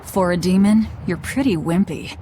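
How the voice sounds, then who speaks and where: Game role: stern young demon heroine voiced by a woman, lower register, blunt and dismissive. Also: normal speed, warm tone, slight background noise, natural voice. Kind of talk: English video game voice acting.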